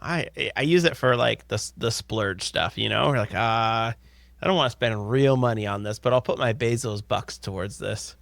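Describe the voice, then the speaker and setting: A man in his thirties co-hosting a podcast, upbeat, reading listener questions and riffing in casual chat from a home studio on a close boom mic. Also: normal speed, gravelly, fairly bright tone, no echo. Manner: upbeat, reads listener questions then riffs loosely. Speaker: podcast co-host. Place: home studio with headphones, indoors. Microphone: close studio mic on boom arm.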